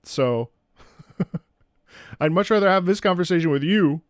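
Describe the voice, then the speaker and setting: A man's voice: high-pitched, animated, measured pace, no echo. Man streamer rambling in a dry room. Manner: rambling, opinionated, repeats and restarts phrases. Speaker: streamer and content creator. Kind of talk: casual livestream chat with viewers. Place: indoors at home.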